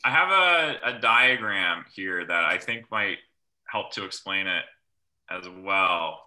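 Young male planner, low pitch, sharp forceful tone, halting informal explainer over video call. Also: expressive. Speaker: rural planner presenting at a public meeting. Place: small room at home, on a video call. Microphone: computer microphone on video call.

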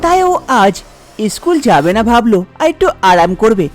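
A woman's voice: medium pitch, big pitch swings, normal speed, faint music behind. A woman narrating a scripted cartoon folk tale as its storyteller.

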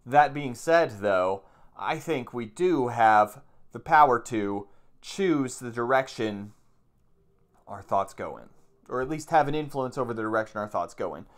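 A man's voice: medium pitch, animated, a little slow. A man around forty, a plain-spoken meditation teacher who reads aloud and explains things informally, repeating points for emphasis. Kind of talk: reading aloud from a book with informal commentary. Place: small room at home, indoors.

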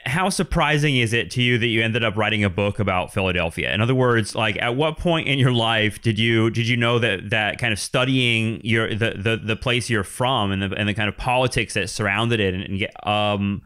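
Halting man hosting a podcast. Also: fairly low pitch, pushed and bright, smooth. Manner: halting, many restarts and fillers. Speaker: podcast host. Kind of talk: conversational podcast interview.

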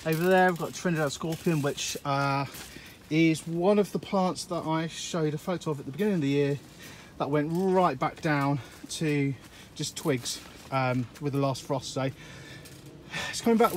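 A man's voice: on the high side, moderate pace, a little hiss or hum. A man giving a casual, rambling walkthrough of his allotment and polytunnel, filmed on a handheld phone outdoors. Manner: relaxed and rambling, with frequent uhs. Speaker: allotment gardener giving a tour. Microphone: handheld phone camera, filming while walking.

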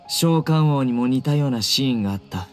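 A man's voice: medium pitch, a little mellow. A man voicing a stoic young desert warrior in an anime game, grave and declarative.

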